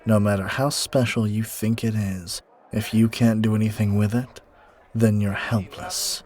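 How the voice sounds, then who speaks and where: A man performs an arrogant young male bully in an audio roleplay, entitled and sneering. He is low-voiced and takes his time, drawling each taunt with menace. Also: unhurried, darkish tone, close to an everyday voice. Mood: menacing, mocking.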